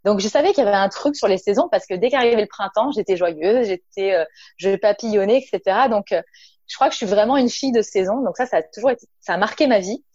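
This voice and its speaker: A woman's voice: medium pitch, darkish tone, no echo, dull audio. A woman from Nice, a naturopath and entrepreneur, talking casually in an interview with frequent hesitations and an informal tone. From Nice, south of France. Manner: chatty, many fillers, addresses listener informally.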